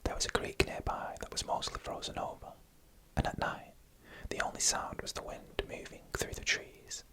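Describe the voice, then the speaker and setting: A storyteller whispering an eerie first-person tale in ASMR style, unvoiced and breathy, with deliberate, suspenseful phrasing.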